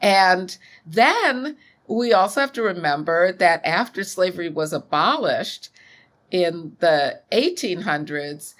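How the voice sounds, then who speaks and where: A woman in her sixties, a scholar being interviewed, speaking in a measured, thoughtful way. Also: fairly low pitch, expressive. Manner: measured, thoughtful, occasional soft laughs. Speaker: guest scholar. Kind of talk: interview conversation.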